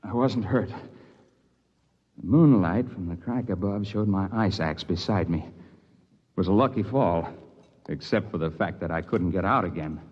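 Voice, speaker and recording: A man's voice: low-pitched, measured pace, pauses often, husky, lo-fi sound. A man playing a plainspoken, seasoned mountain climber who narrates and acts in an old-time radio drama. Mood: measured, tense. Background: music.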